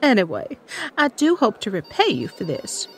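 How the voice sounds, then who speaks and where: A woman's voice: mid-range voice, huge pitch swings, normal speed, soft background music. A woman playing a gushing, self-adoring former villain queen turned influencer in an audio comedy, with a regal manner. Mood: breezy, vain.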